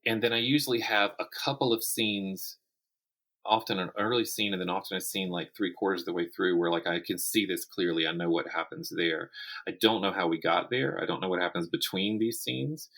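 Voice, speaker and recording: A man's voice: low voice, brisk, a bit bright. A man speaking as a guest author in a casual interview, often restarting and repeating words as he thinks aloud.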